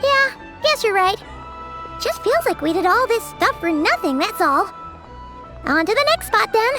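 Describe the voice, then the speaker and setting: A woman voicing a small, childlike female companion sprite in a video game dub, squeaky, exclamatory and easily exasperated. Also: big pitch swings, measured pace, over loud music, heavily acted. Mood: weary, grumbling. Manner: speaks of herself in third person, exclamatory.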